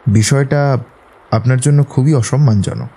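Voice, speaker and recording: An actor playing a composed, courteous detective who questions in a measured, probing way in an audio drama. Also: male voice, medium pitch, creaky, warm tone. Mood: measured, inquiring. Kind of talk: Bengali audio drama acting.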